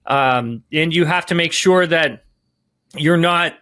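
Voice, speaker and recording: A man's voice: fairly high pitch, slow, bright, forceful tone. A man giving podcast sports analysis, emphatic, rephrasing and repeating key words as he goes.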